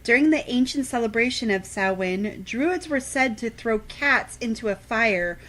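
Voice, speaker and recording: Bright-voiced woman reading facts. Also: on the high side, expressive, normal speed. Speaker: radio show co-host. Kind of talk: reading trivia aloud, scripted facts.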